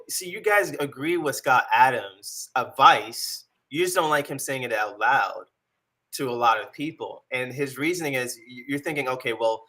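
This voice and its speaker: A man's voice: medium pitch, sharp tone. A man in a casual talk show debate, arguing his point and pushing back on the hosts. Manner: argumentative, pushes back.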